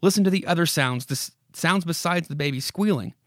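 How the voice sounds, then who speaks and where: A man's voice: a little high, a little fast, a bit bright, dry recording, clean studio sound, voice pushed up, natural voice. A man voicing an insistent haunted house from a horror comic, pleading and commanding in a dramatic way. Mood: urgent, commanding. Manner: dramatic, commanding second-person address with repeated imperatives. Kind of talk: horror comic read aloud.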